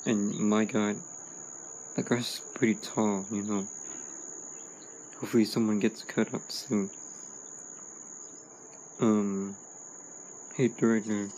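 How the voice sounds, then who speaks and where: A man's voice: lowish voice, level tone, slow pace, long pauses, darkish tone, slight background noise, low-quality recording. A man voicing a laid-back male robot toy hero in a home toy video, talking with lots of fillers and restarts. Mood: easygoing. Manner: rambling, many fillers.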